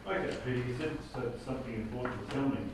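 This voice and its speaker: Quick-talking older professor played by a man, very echoey. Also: mid-range voice, natural voice. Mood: urging. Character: wry, avuncular older male physics professor. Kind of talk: informal script table read. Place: indoor room at a group table read. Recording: very echoey room, distant mic, noisy.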